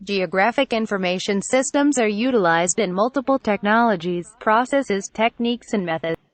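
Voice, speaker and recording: A woman's text-to-speech voice reading technical text aloud in a measured, impersonal manner. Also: medium pitch, lively intonation.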